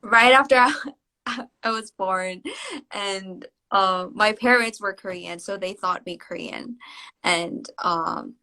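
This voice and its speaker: A woman's voice: medium pitch, slow pace, pushed and bright. A woman from Indonesia, a guest in a casual live-stream chat, who answers thoughtfully and hesitantly with many fillers and laughs often. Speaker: interview guest.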